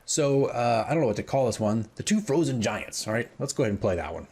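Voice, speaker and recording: A man hosting casual, rambling commentary as a dungeon master, informal and self-deprecating, with a husky voice. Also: medium pitch, very animated, quick pace, darkish tone. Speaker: host, dungeon master, radio drama creator.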